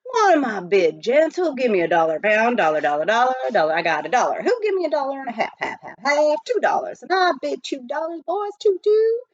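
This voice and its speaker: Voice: high voice, huge pitch swings, no echo, pitched above the performer's own voice, full character voice. Brash Gold Rush auctioneer man played by a female reader, grinning storybook huckster, swooping chant, dry muffled sound. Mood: hawking, eager. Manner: repetitive rapid auction chant. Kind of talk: children's storybook character voice.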